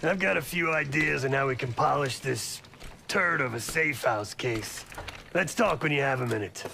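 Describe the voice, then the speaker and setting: An actor playing a gruff, cynical veteran operative in a game, with a gravelly voice and a blunt, sardonic manner. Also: male voice, mid-range voice, moderate pace, a little hiss or hum. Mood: blunt, advisory. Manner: sardonic, blunt, folksy sayings. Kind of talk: scripted game cutscene dialogue. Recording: loud music over the voice. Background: loud music.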